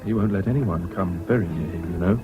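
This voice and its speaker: An actor playing a mild, reticent English gentleman, a loyal friend, in a 1970s British radio drama. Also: sits low, a little fast, natural voice. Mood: subdued. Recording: dull old radio recording, music behind.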